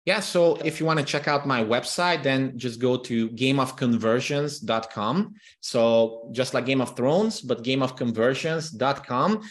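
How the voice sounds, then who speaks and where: A man from Transylvania, a native Hungarian speaker, talks as a guest in a relaxed interview. His pitch sits in a middle range, and he keeps restarting phrases and doubling words as he tells his stories. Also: measured pace, few pauses. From Transylvania, Romania. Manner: restarts and repeats words, animated storytelling. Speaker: podcast guest, copywriter. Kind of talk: casual interview talk.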